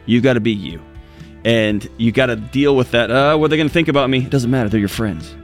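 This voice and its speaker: A man's voice: mid-range voice, fast, faint music behind. A man co-hosting a talk show, speaking earnestly in casual conversation with frequent restarts. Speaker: co-host.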